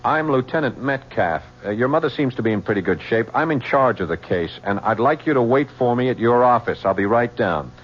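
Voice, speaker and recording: An actor playing a patient, dogged homicide lieutenant who speaks in a halting, apologetic way with many pauses. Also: male voice, mid-range voice, expressive, natural voice. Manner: halting, apologetic, full of uh's. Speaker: police lieutenant. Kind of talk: 1970s radio drama acting. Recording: dull old radio recording with faint hiss.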